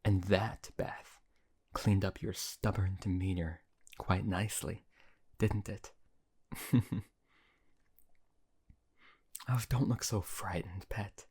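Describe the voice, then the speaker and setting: A man's voice: low voice, steady tone, takes it slowly, pauses often, a little mellow, husky, heavily acted. A man playing a possessive, cruel yet doting male emperor in an audio roleplay, speaking in a silky, condescending way that often drops to a whisper. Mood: gloating.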